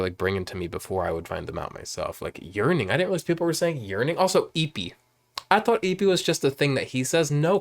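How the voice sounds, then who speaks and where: A man in his late twenties chatting casually in a vlog, rambling and jumping up in pitch when excited, filmed on a phone at home. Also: gravelly. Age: 20s. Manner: rambling, animated, swings high when excited. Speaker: vlogger. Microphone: phone camera.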